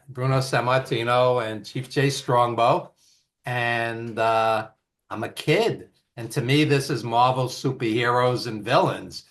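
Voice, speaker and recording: An older man with a thick Brooklyn accent, speaking at an unhurried, deliberate pace in a middle-range voice. He reminisces in a rambling, nostalgic way, full of "uh"s and restarts. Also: medium pitch, fairly bright tone. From East Flatbush, Brooklyn, New York. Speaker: guest, author and filmmaker. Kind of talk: casual storytelling in an interview.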